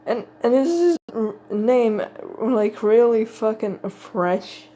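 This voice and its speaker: A woman's voice: on the high side, animated, dark tone. Exasperated, swearing woman reacting offhand. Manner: profane, exasperated, halting. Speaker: reaction video commentator. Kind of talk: casual, unscripted reaction commentary.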